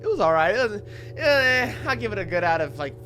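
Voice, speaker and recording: A man's voice: high-pitched, sing-song, brisk, pushed and bright, a little hiss or hum. A young man hosting a reaction video with casual, slangy, incredulous and jokey commentary.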